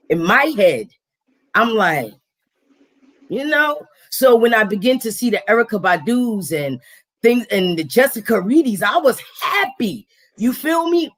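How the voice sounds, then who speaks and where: Raspy deep-voiced lady hosting a live stream, chatty and animated. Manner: animated, slangy, acts out quotes in a higher voice. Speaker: host. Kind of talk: casual live-stream chat.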